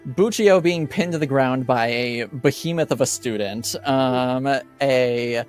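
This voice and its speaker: A man improvising narration as game master of a tabletop game, with a halting, hesitant delivery. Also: mid-range voice, soft background music.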